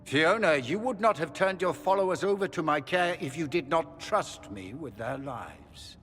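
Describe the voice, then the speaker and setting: An actor playing a middle-aged, courtly and condescending magister, a scheming villain in a fantasy video game. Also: male voice, fairly high pitch, expressive, moderate pace, fairly bright tone, slight background noise. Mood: smooth, condescending. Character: haughty, scheming Tevinter magister, smooth-talking villainous father. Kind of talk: dramatic video game acting. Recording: long hall reverb, music behind.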